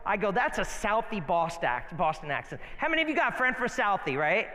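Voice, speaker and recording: A man's voice: sits high, big pitch swings, fairly quick, pushed and bright. A man with an American accent preaching conversationally, mixing Bible readings with informal stories and rhetorical questions. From northeastern United States. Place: large reverberant hall, live sermon. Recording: long hall reverb.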